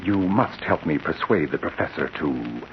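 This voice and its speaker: An actor playing an earnest, persuasive scientist's assistant in a 1940s radio drama, formal and insistent. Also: male voice, extremely low pitch, animated, normal speed. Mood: urgent, persuasive. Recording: muffled, noisy 1940s radio recording.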